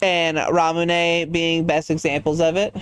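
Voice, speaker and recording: Smooth-voiced man chatting on stream. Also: on the high side, little pitch movement, unhurried, a bit bright, no room sound. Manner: rambling, reacts to chat, goofy asides. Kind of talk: casual chat while gaming.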